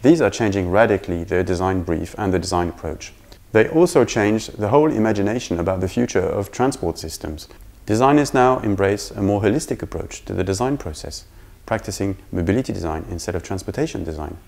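A man in his forties with a low voice and a gravelly creak. He delivers a scripted presentation to camera as a design department head, recorded indoors on a lapel mic. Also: lively intonation, fairly quick, darkish tone. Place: indoor room with bookshelves.

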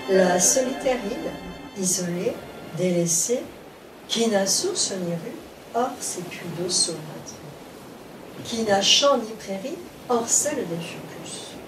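A woman reciting epic poetry in a solemn, measured declamation, phrase by phrase. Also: medium pitch, lively intonation, over music. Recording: roomy, distant microphone, clear background noise.

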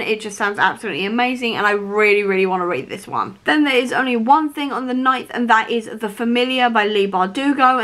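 A young woman in her twenties chatting to camera about upcoming books, her voice a little rough because she is ill and losing it, with lots of "really, really". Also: a little high, a bit bright. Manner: chatty, repeats "really, really" for emphasis. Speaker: book vlogger. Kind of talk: casual book chat to camera. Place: small room, seated on a sofa.

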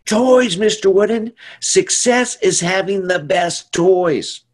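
A man voicing an eager, boastful young animal pupil in a storybook, loud and insistent. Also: sits high, takes it slowly.

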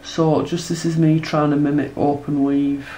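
A woman's voice: deep voice, level tone, normal speed, mellow, slight background noise. A woman with a cold, chatting casually as she demonstrates her textile art and sketches, often asking tag questions. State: congested from a cold. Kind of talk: casual tutorial narration while drawing. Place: indoors at a table.

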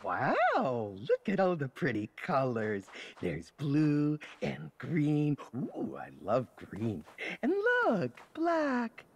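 A man's voice: a little high, wildly swooping pitch, very slow, a little hiss or hum, heavily acted. A man voicing a naive, cheerful, childlike little snowman in a game, innocent and full of whimsical wonder. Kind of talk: video game cutscene acting.

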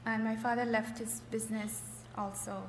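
A middle-aged woman from Afghanistan shares her testimony in a fairly high voice. She speaks in short, hesitant phrases with frequent pauses. The sound is very echoey, as in a hall, with slight noise. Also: little pitch movement. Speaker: church member giving testimony.

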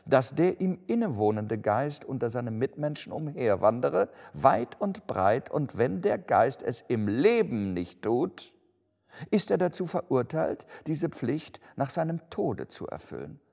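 A man's voice: mid-range voice, sing-song, unhurried, vocal fry, a little mellow, voice pushed up, exaggerated voice. A man voicing a stern, doomed male ghost in chains for a storybook, solemn, declamatory and lamenting. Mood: grave, reproachful. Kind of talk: dramatic storybook reading. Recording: long hall-like reverb.

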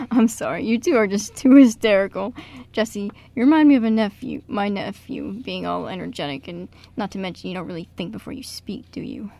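A woman playing an excitable, old-fashioned male theater director in an amateur radio play. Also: medium pitch, moderate pace, vocal fry, no echo. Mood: amused.

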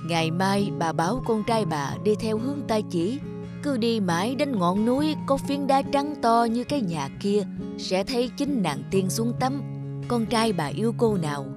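A woman voicing a calm, benevolent old male sky spirit in an animated fairy tale. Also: fairly high pitch, moderate pace, natural voice. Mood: calm, helpful. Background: prominent music.

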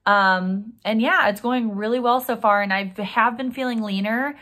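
A woman's voice: on the high side, normal speed, fairly bright tone. A young woman chatting casually to viewers in an upbeat vlog, talking while she eats in her home kitchen. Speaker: vlogger.

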